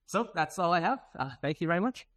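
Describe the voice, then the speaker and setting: A man's voice: high voice, animated, fast, a bit bright, dry recording, clean studio sound. A man presenting at a technical conference in an informal, conversational way, with casual asides. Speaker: conference presenter, software developer.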